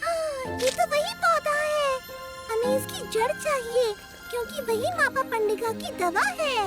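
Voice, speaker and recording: A woman's voice: very high-pitched, unhurried, over loud music. An actress voicing an earnest, playful young male animal cub in a children's cartoon dub, with a childlike, eager delivery.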